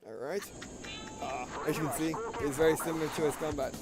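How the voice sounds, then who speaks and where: A man giving casual, halting commentary as he plays a game, rambling a little and repeating his phrases. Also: fairly high pitch, fairly even intonation, loud music behind the voice. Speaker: gameplay video host. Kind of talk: casual gameplay commentary, sometimes reading game text aloud.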